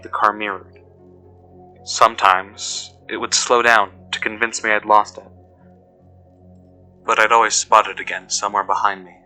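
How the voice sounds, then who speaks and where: Casting: uneasy male investigator played by a man for audio horror, low and very forceful, deliberate delivery, faint music underneath. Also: rough recording. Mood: tense. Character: uneasy male investigator recounting being followed. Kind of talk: scripted first-person horror narration. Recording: music behind the voice.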